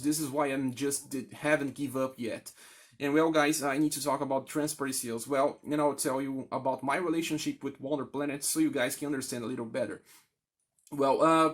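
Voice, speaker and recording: A man from Brazil, a native Brazilian Portuguese speaker with a Brazilian accent, speaking candidly and haltingly as a gaming video creator addressing viewers. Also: medium pitch. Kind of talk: candid, unscripted appeal to channel viewers.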